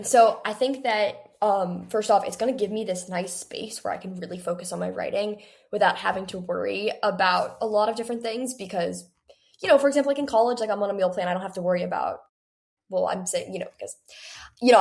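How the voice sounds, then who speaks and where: A woman's voice: mid-range voice, a little fast, gravelly. Chatty eighteen-year-old woman, mid-range voice. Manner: chatty, heavy use of "like". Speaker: guest, young adult author. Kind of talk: conversational interview answers.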